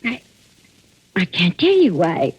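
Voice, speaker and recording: A woman's voice: lowish voice, big pitch swings, takes it slowly, a little mellow, slightly rough, heavily acted. An actress playing an anxious old housekeeper and protective mother, faltering and pleading, in 1940s radio drama style. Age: older. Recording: muffled old radio recording.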